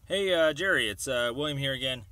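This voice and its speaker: Bright-voiced man rambling through a voicemail. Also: mid-range voice. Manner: halting, hesitant, piecing thoughts together. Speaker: caller leaving a voicemail. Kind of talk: rambling informal phone message. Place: voicemail left over the phone. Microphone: telephone.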